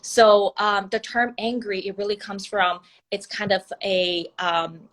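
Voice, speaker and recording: A woman's voice: medium pitch, little pitch movement, a little slow, fairly bright tone, rough recording. A young woman whose first language is Mandarin Chinese, answering interview questions about her writing in an earnest way, with frequent fillers and restarts. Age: young adult. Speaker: author being interviewed. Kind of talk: conversational interview.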